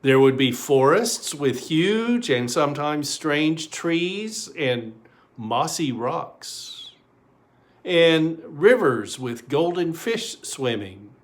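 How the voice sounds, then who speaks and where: A man reading a children's story aloud in an expressive way, with deliberate pauses. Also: on the high side.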